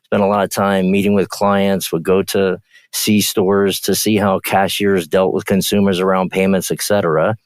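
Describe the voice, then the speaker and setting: Deep-voiced man, dry close sound. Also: little pitch movement, moderate pace, no echo. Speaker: podcast guest, payments executive. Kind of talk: podcast interview.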